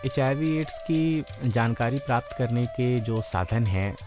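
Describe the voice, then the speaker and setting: A man's voice: medium pitch, lively intonation, unhurried, over music. A man contributing to a radio show as an awareness worker, explaining in formal language.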